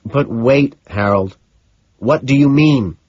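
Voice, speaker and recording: A man's voice: a little high, wildly swooping pitch, normal speed, vocal fry, husky, dull audio, voice pushed up, exaggerated voice. A man playing an intense, unhinged businessman who speaks with strained, emphatic insistence. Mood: incredulous.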